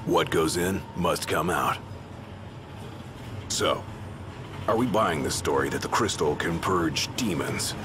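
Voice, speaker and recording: A man playing a gruff, blunt fighter in a video game, talking casually like a tough comrade. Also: sits low, slow pace, pauses often, background noise. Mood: wry, sceptical. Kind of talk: video game drama acting. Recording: loud music over the voice.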